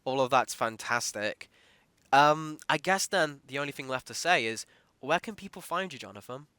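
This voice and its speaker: Male podcast host, conversational interviewer. Bright, crisp voice, close dry sound. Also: medium pitch, lively intonation, moderate pace, dry recording.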